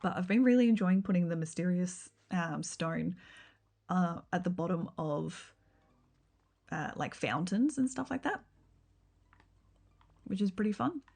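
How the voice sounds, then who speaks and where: A woman, chatting casually on a game livestream. Her voice is a little rough and croaky, and she meanders along with frequent ums and likes. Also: on the low side, expressive, a little slow, pauses often, darkish tone. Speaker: game streamer. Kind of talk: casual livestream chat while building in a game.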